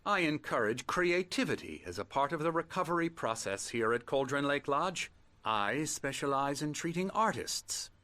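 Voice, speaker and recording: An actor playing a smooth, condescending psychiatrist in a video game, speaking in a measured, formally polite, controlling way. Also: male voice, on the high side, lively intonation, sharp tone. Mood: condescending, soothing. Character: smooth condescending male psychiatrist running a clinic, quietly controlling. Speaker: doctor. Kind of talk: video game dramatic acting.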